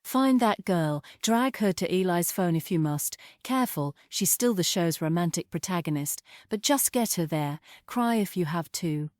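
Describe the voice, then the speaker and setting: A woman's voice: fairly low pitch, lively intonation, moderate pace, hardly any pauses, darkish tone, no room sound, studio quality, close to an everyday voice. A woman playing a scheming, sarcastic villainess in a stage table read, sly, mocking and melodramatic. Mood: gloating, scheming.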